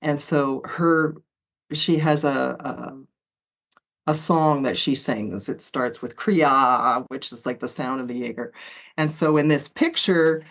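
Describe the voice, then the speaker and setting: A woman's voice: a bass voice, slow pace. A woman author being interviewed, talking in a chatty way with frequent laughs and hesitant fillers. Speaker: author guest. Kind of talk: conversational interview.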